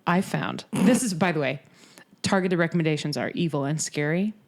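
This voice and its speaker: Wry, rambling woman with a low voice. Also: a little fast. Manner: wry, rambling, many fillers. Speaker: podcast co-host. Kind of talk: casual chat.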